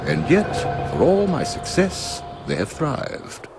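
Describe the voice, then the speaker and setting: A man's voice: a little high, wildly swooping pitch, takes it slowly, heavily acted. An actor playing a cruel, righteous old judge in a video game, pompous and ominous in his theatrical delivery. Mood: stern contempt. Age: older. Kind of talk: dramatic video game acting. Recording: loud orchestral music behind.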